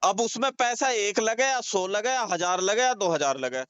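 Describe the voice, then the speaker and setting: A man's voice: high voice, animated, moderate pace, fairly bright tone, clean tone, dry recording. A man arguing heatedly on a recorded phone call, insistent, accusing and repeating his points in colloquial speech. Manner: confrontational, insistent, accusing, repetitive.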